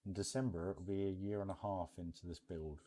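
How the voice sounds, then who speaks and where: A man's voice: low voice, fairly even intonation, brisk, mellow. A British man hosting a casual game live stream, chatting in a chummy way and calling viewers mate and bud. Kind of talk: casual live stream chat over a theme park building game.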